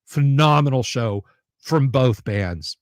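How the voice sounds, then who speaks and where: A man's voice: medium pitch, animated, slow, dry recording, clean studio sound. A man hosting a casual livestream, rambling, repeating his words and making sarcastic asides while reading viewer comments. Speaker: livestream host.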